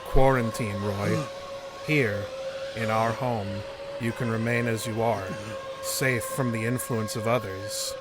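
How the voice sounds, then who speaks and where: Man playing a cold, low-voiced alien interrogator. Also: fairly even intonation, heavy background noise. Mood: calm, implacable. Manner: measured, clinical, unemotional, detached. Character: cold, implacable male alien interrogator speaking for a collective. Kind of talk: audio drama acting.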